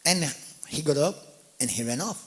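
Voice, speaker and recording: A man's voice: on the high side, moderate pace, a little hiss or hum. A man preaching a sermon as pastor, telling stories with energy, repeating and restarting words, and speaking straight to the congregation. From Malta. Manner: animated storyteller, repeats and restarts words, addresses the congregation directly.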